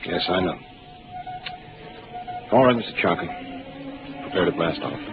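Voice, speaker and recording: A man's voice: sits low, animated, moderate pace. An actor playing a grave, laconic male spaceship captain in a 1950s radio drama. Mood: grave, subdued. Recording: muffled 1950s radio, loud music behind.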